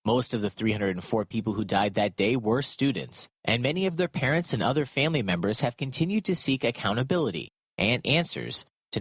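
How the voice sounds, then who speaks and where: A man reporting and narrating a scripted radio news piece in a measured, formal read. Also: mid-range voice, a bit bright, no echo.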